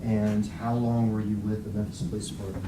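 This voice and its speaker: Flat-voiced man questioning in an echoey courtroom. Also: fairly low pitch. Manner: measured, leading yes-or-no questions. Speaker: attorney questioning a witness. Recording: echoey courtroom audio from far off, hissy.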